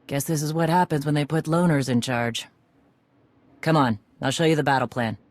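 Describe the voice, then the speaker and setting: A woman's voice: sits low, fairly even intonation, moderate pace, no echo, lightly acted. Woman acting a tough, dry-recorded clan commander. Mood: frank, wry. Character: tough, blunt female Mandalorian clan commander. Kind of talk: video game voice acting.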